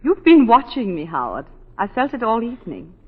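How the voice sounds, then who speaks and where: Husky, enigmatic accused woman. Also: fairly high pitch, very animated, fairly quick. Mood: guarded, challenging. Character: cool, enigmatic accused woman, poised and flippant. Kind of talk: 1940s radio acting. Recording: dull 1940s radio recording.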